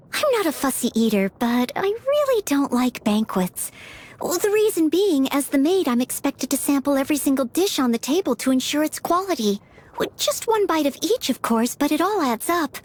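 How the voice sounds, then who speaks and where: A woman's voice: high voice, sing-song, normal speed. A woman voicing a polite, self-effacing young maid and aspiring knight in a video game, eager to please. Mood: chatty, earnest. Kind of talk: video game character voice lines.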